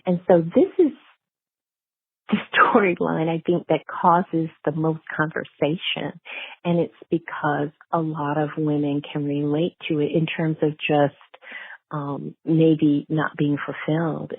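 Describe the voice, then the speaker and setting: Low-voiced woman, a candid interview guest. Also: slightly rough, no room sound, phone-quality sound. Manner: explanatory, candid. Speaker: guest, novelist. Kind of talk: conversational interview discussion.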